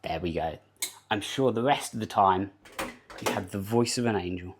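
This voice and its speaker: A man's voice: lowish voice, normal speed, noisy background. A British man streaming live from his home kitchen while baking, rambling casually, laughing often and answering his chat. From United Kingdom.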